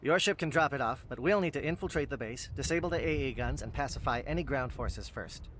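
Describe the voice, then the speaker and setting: A brisk alien military captain, acted by a man in a video game. He talks quickly in a mid-range voice, precise and formal like a practical soldier. Faint ambient noise sits behind him. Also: medium pitch, animated, a bit bright, lightly acted. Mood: businesslike.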